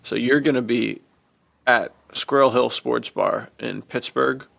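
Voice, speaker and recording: A man hosting a podcast in casual chat, informal and enthusiastic, with a gravelly, fry-heavy voice. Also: medium pitch, fairly even intonation, a little slow, a bit bright. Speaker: podcast host.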